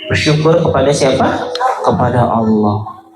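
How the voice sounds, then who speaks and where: A man's voice: medium pitch, measured pace. A man telling stories and teaching religion to children, playfully putting questions straight to his young listeners. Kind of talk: interactive storytelling for children. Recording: echoey room with music behind.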